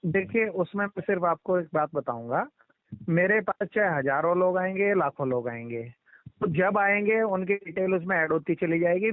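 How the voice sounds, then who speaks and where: A man's voice: sits high, no echo. A man explains things step by step on a recorded phone call. He is insistent and repeats his instructions. The sound is dry and narrow, like a telephone line. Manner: insistent, talks over others, repeats instructions. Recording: muffled band-limited phone call recording.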